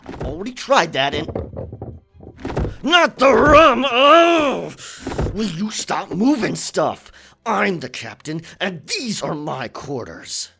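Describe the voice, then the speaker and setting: A man playing a boisterous, rum-swigging pirate captain in a roleplay audio drama, with a gravelly voice, theatrical yet hesitant, muttering in pirate slang. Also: high-pitched, very animated, slow pace, music in the background, heavily acted. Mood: defensive, then indignant.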